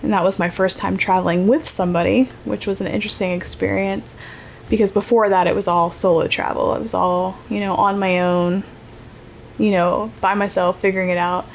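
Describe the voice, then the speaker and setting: A woman's voice: medium pitch, steady tone, a little fast. Chatty woman podcasting, faint hiss. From Ohio, United States. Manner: chatty, rambling, many fillers and restarts. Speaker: podcast host. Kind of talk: casual solo podcast monologue.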